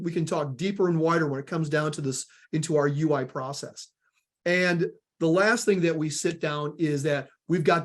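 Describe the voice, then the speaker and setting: A man with a Southern US accent presenting a product webinar, energetic and repeating words as he talks, often calling the audience guys. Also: on the high side.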